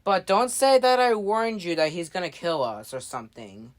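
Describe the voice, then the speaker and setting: A woman playing a laid-back, doubtful dude with a slangy, halting delivery in improvised amateur dialogue. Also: fairly low pitch, big pitch swings. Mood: wary.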